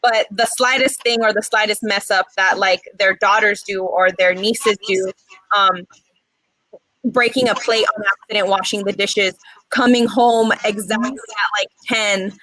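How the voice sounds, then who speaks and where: A young woman in her twenties, from Mexico and raised in the States, talks earnestly as a guest and stops often on "um". Her voice is bright and sits close and dry, with no room sound around it. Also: mid-range voice, steady tone, no room sound, low-quality recording. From Mexico, raised in the United States. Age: 20s. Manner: earnest, many "um" pauses. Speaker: guest poet. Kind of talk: casual interview chat.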